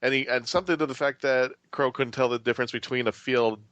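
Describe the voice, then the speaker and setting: A man's voice: medium pitch, steady tone, fast talker, a bit bright, dry recording. A man talking casually as a panelist on a fan podcast.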